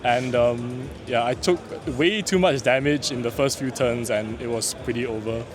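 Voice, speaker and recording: A man who grew up in Singapore, explaining his card game matches in a calm, measured, analytical way. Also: medium pitch. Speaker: tournament player being interviewed. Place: busy card game tournament venue. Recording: busy crowd noise behind. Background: crowd chatter.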